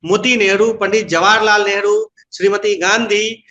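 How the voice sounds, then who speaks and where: A man gives his views in a political interview. His voice is notably high-pitched and bright, with a forceful edge. He explains and argues his case, switching now and then into English phrases. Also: steady tone, normal speed, lo-fi sound. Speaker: political interviewee, party spokesman. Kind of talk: political interview, opinion and anecdote.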